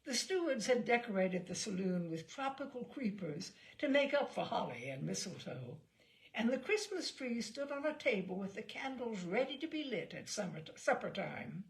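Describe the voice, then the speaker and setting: A woman narrating a literary short story in a measured, articulate, expressive way. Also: mid-range voice, creaky, rough recording. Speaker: storyteller, reader.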